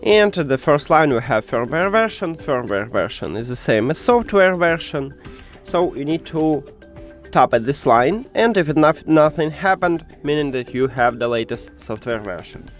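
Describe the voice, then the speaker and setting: A man's voice: fairly high pitch, lively intonation, moderate pace, soft background music. A man hosting a step-by-step tech tutorial, speaking English with non-native phrasing.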